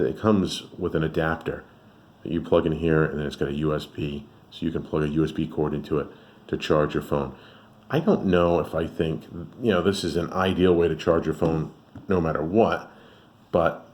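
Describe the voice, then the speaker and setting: A man's voice: a bass voice, darkish tone. A man giving a casual, unscripted product review as a host, rambling conversationally and thinking aloud. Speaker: radio reviewer and channel host.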